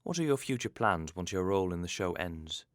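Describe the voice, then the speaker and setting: A man hosting an interview from a script, in a formal, measured manner. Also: low-pitched, animated, no room sound, clean studio sound. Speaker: interview host.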